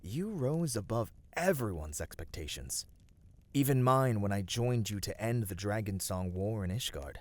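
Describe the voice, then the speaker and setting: A man voicing a gentle, noble fantasy adventurer in an ASMR roleplay, speaking soothingly and earnestly in formal, old-fashioned phrasing. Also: fairly low pitch, animated, moderate pace, no echo, close to an everyday voice. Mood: admiring, earnest. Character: gentle, noble, reassuring male fantasy adventurer comforting a weary friend. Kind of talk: ASMR fantasy roleplay, scripted intimate voice acting.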